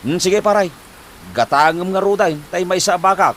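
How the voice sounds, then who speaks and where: An actor playing a scheming husband who coaxes his wife to work abroad, speaking with an Ilocano accent in a lively, pushy way. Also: high-pitched, normal speed, slight background noise. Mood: boasting. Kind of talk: comedy drama acting.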